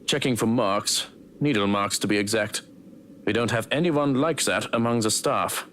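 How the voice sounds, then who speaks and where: An actor voicing a composed, blunt, protective man with a measured, formal delivery in a game. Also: on the low side, a bit bright, a little hiss or hum, lightly acted. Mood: measured warning. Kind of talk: visual novel voice acting.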